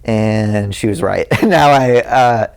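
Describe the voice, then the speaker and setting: A man's voice: on the low side, slow pace, vocal fry, a little mellow, clean tone. A man guesting on a podcast as a musician, rambling and self-deprecating, laughing often. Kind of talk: casual interview chat.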